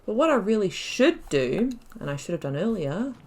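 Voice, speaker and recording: A woman's voice: mid-range voice, very animated, moderate pace, darkish tone. Chatty woman commentating on a game. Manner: chatty, self-deprecating. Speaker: video game streamer. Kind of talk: casual gameplay commentary.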